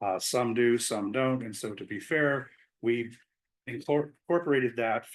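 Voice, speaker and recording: A man's voice: medium pitch, a little slow. A man speaking as a community group chair during public comment at a city commission meeting, explaining a proposal with frequent fillers. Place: city council chamber.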